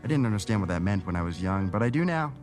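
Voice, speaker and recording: A man playing a composed, probing city councilman with hidden schemes, in a measured radio drama performance. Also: on the low side, lively intonation, darkish tone, faint music behind, lightly acted. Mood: reflective, sly.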